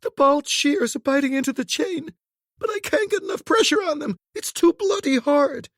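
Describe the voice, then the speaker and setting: A man voicing a desperate young woman, crying out in a high, shrill falsetto. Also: animated, moderate pace, fairly bright tone, dry recording, clean studio sound. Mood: frantic.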